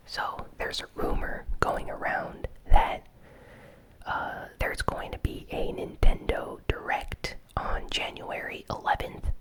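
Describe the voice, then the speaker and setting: Slow, hushed ASMR whisperer. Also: poor audio. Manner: soft, unhurried whispering. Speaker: host of a gaming news show. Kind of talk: whispered ASMR news recap.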